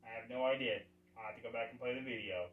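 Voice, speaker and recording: A man presents a hobby repair video in a casual, off-the-cuff way. He has a mid-pitched voice and muses aloud as he goes, as if talking half to himself and half to the viewer. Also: steady tone, fast, narrow, tinny audio. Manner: musing, thinking aloud to himself. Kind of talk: casual unscripted workbench commentary.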